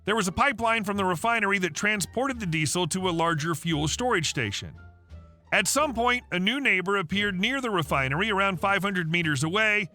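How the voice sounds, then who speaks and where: A man narrating online stories in a steady, even read-aloud delivery. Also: high voice, fairly quick, soft background music.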